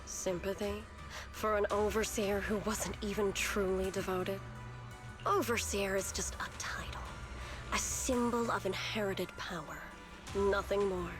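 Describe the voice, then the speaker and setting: An actress playing a cold, devout cult zealot in a video game, grandiose, measured and persuasive. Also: female voice, medium pitch, steady tone, close to an everyday voice. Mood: scornful. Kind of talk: dramatic video game voice acting. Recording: game music clearly behind. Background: game music.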